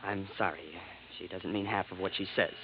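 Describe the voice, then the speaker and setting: Man acting quick-talking, strained, stuffy young husband. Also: sits low, fairly even intonation, natural voice. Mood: strained, apologetic. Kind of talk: 1950s American radio drama acting. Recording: noisy muffled vintage radio recording.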